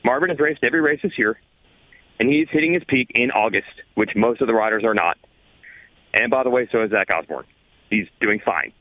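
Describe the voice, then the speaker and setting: A man's voice: medium pitch, brisk, no echo, narrow, tinny audio. A man in a casual sports podcast discussion, arguing his points emphatically with rhetorical questions. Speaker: motorsport podcast panelist.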